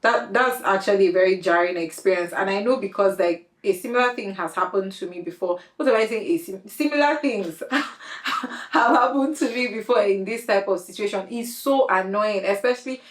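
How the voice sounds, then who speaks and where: A woman hosting a podcast interview, chatty and quick to laugh, using slang. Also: medium pitch, roomy sound. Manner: chatty, laughs often, uses Nigerian slang. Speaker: podcast host. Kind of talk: casual interview chat.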